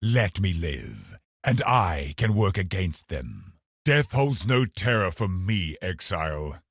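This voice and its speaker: A cold, scheming religious officer of a video game villain. The voice is very deep and rough-edged, with slow, formal, calculating delivery that swings widely in pitch. It is recorded close and dry. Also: male voice, sing-song, no room sound, exaggerated voice. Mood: cold, defiant. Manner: measured, haughty, calculating, formal. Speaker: game boss character. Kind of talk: dramatic video game voice acting.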